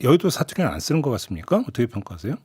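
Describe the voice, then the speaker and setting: A man hosting a radio current-affairs program, firing off quick questions with a lively, swinging pitch. His acknowledgments are short and frequent. The studio sound is close and dry. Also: breathy edge, no room sound. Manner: brisk questioning, short backchannel replies. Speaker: radio host.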